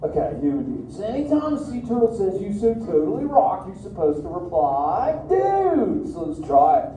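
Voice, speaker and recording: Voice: medium pitch, huge pitch swings, normal speed, warm tone. A performer voicing a laid-back surfer dude sea turtle in a live theater show, chatting playfully with the audience. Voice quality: drawling, goofy, frequent "dude". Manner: playful, chats with audience, laughs a lot. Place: indoor theater. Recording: echoey theater recorded from far with noise.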